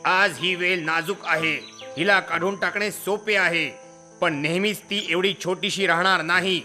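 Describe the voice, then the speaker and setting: A man voicing a cautious, commanding parrot leader in an animated children's story. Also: sits high, unhurried, faint music behind, heavily acted. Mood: earnest warning.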